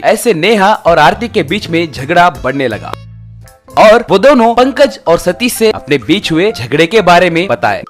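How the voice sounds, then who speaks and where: A man's voice: high-pitched, very animated, unhurried, soft background music. A man narrating a scripted, children's-style story.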